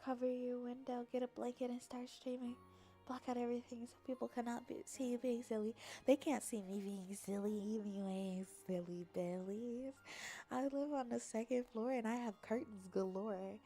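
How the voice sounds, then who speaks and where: High-voiced playful young woman. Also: moderate pace, soft background music. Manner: playful, silly, expressive, reacting to chat. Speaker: livestreamer chatting with viewers. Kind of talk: casual livestream chat. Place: apartment.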